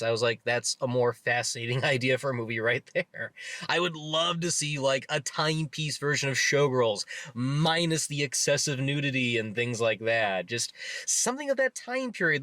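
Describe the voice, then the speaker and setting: A man co-hosting a casual film review podcast, his voice bright and forward. He rambles eagerly from point to point, full of fillers and restarts. The sound is close and dry, with no room around it. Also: mid-range voice, no echo. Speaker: movie review co-host. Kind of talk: casual movie review chat.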